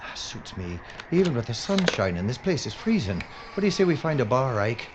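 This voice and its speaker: A man's voice: medium pitch, big pitch swings, brisk, natural voice. A man playing a sarcastic, whiny, wisecracking documentary presenter in an audio drama. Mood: grumbling. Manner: grumbling, sarcastic. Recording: muffled, clearly noisy outdoor ambience.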